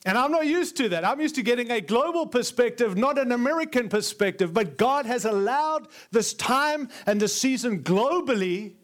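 A man's voice: extremely high pitch, expressive, normal speed, hardly any pauses. A man with an Australian accent preaching a sermon as a guest speaker to a live congregation, earnest and emphatic, stacking repeated phrases to build his point. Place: large church before a live congregation.

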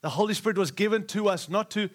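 A man's voice: high-pitched, quick pace. A middle-aged man, preaching to a live church with repeated, rousing phrases and jokes for the congregation. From Australia. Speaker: guest preacher.

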